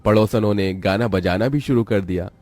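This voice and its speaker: A man's voice: on the low side, moderate pace, a little mellow, no echo, soft background music. A man narrating a scripted, dramatic story as a storyteller.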